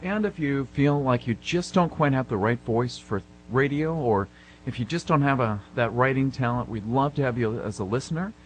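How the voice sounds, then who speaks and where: A man's voice: mid-range voice, lively intonation, normal speed, rough recording. A man co-hosting a radio theater show, making informal announcements. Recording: hum and clear background noise.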